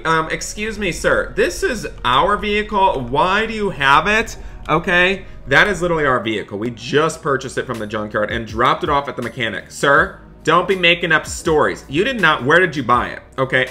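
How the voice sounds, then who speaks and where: A man hosting a gaming roleplay as a dad, narrating excitedly in a dramatic, exasperated way and repeating himself. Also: a little high. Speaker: gaming video host playing a dad in roleplay. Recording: background music behind voice. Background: music.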